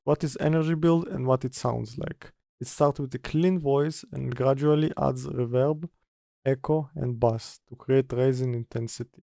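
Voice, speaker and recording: A man presenting an informal software tutorial, halting as he reads steps from notes and mutters through them. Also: mid-range voice, fairly even intonation, gravelly, no echo, clean studio sound. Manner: halting, mutters step by step while working. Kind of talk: informal screen-recorded software tutorial, partly read from notes.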